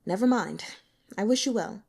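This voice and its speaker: A gentle, well-meaning man in an audiobook, read by a woman. He speaks softly and politely, with plenty of melodic movement. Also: mid-range voice, animated, moderate pace, creaky, breathy edge. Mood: gently rueful. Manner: soft-spoken, polite. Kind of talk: audiobook character acting.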